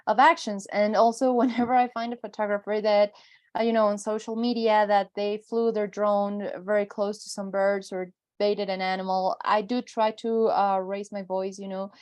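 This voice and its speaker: A woman's voice: mid-range voice, level tone, normal speed, a little mellow. A woman talking conversationally and a little hesitantly as a panelist in an online discussion.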